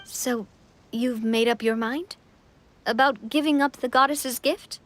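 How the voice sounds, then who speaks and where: A woman's voice: fairly high pitch, a little slow, a little hiss or hum. A woman voicing a kindly, confident young queen in a video game, encouraging and playful. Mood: curious. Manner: assured, encouraging, expressive.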